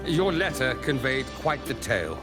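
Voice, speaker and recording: An actor playing an erudite, wry mage scholar in a fantasy video game, eloquent and theatrical. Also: male voice, medium pitch, sing-song, normal speed, natural voice. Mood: wry, thoughtful. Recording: loud music behind.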